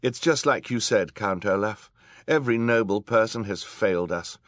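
A man as an earnest, raised-pitch clever boy. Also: moderate pace, a bit bright, no room sound, studio quality, close to an everyday voice. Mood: calm resolve. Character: calm, clever, reasoning young boy.

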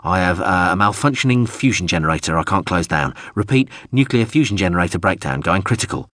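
A commanding male starship captain in a science fiction audiobook, played by a male reader. The voice is low and husky. The delivery is terse and clipped, like someone in charge under pressure. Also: expressive, moderate pace, pushed and bright, no echo, clean studio sound, heavily acted. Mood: urgent.

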